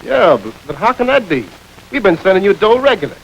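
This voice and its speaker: A man's voice: sits high, sing-song, brisk, gravelly, muffled recording, slight background noise, full character voice. An actor playing a doubtful, slightly gullible gang henchman in an old-time radio crime drama. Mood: puzzled.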